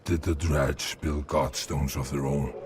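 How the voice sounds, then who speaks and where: Grim game warrior, done by a male narrator: extremely deep, slow, flat, weary, noisy recording. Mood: bleak.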